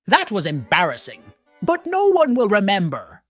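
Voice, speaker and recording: A woman with a breathy voice, voicing comic characters with an expressive delivery. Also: mid-range voice, normal speed, music in the background. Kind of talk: comic dub acting.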